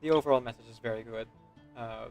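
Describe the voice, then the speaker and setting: A man's voice: mid-range voice, quick pace, a little mellow. A man doing casual gameplay commentary, musing to himself in a hesitant, trailing way. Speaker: streamer. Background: game music behind the voice.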